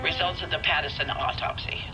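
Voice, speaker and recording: A woman's voice: deep voice, brisk, background noise, lightly acted. A woman playing a courteous, efficient police dispatcher in a video game drama.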